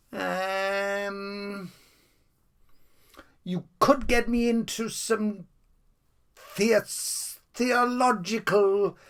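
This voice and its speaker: A man's voice: high-pitched, a bit bright. A Welsh man rambling off the cuff to the viewer about his favourite music, chatty and theatrical, at times stepping back from the mic. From Wales. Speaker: music fan vlogger.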